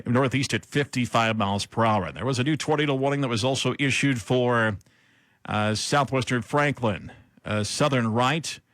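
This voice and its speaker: An American man anchoring live severe weather coverage on radio, speaking haltingly as he improvises between read-out bulletins. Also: medium pitch, a bit bright, dry recording, clean studio sound.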